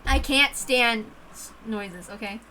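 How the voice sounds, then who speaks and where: A woman reading a visual novel aloud in an expressive, informal way, dramatic in narration and casual in asides. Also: fairly high pitch, slow pace, a little hiss or hum, poor audio.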